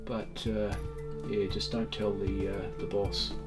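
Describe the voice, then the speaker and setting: A man's voice: fairly low pitch, little pitch movement, slow. Easygoing interview voice, man, faint music. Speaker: bikepacker following friends in a race. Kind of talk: casual interview chat.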